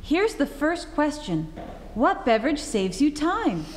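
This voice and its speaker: A woman's voice: high-pitched, big pitch swings, slow, a little hiss or hum, exaggerated voice. A woman playing a chipper old-time radio advertising announcer, perky and selling, recorded on a reverberant stage.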